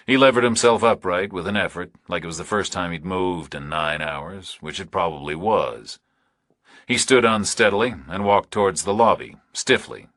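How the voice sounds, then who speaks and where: A man reading scripted thriller narration as an audiobook narrator, in measured, terse phrases. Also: deep voice, bright, forceful tone, dry recording, studio quality.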